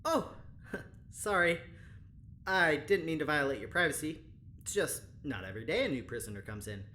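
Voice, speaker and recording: A woman's voice: low-pitched, animated, moderate pace, fairly bright tone, slight background noise. A woman acting as a cheerful, chatty, over-familiar spaceship engineer in an audio drama, with a breezy, rambling, teasing manner. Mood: friendly, apologetic.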